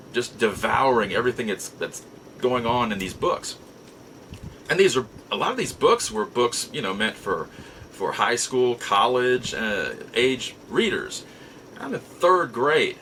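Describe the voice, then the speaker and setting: A middle-aged American man telling nostalgic personal stories in a casual, halting, reflective way with drawn-out pauses. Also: medium pitch, bright, forceful tone, slight background noise, poor audio. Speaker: podcast host. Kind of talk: casual nostalgic storytelling monologue.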